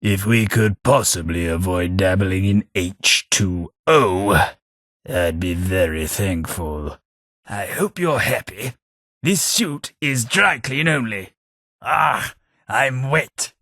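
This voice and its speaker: An actor playing a refined, dry-witted male ghoul companion with an upper-class Southern English accent and a husky voice, recorded close in a studio for a game. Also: on the low side, lively intonation, slow, a bit bright, dry recording, full character voice. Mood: fussy. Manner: dry, wry, refined delivery. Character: posh, witty male ghoul gentleman companion. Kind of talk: video game voice lines. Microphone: close studio mic.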